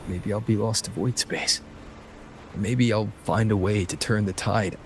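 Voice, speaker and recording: A man voicing a calm, resolute young hero in a video game, earnest and understated in his delivery. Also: lowish voice, a little slow, slight background noise, natural voice. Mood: quietly resolute. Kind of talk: scripted video game voice acting.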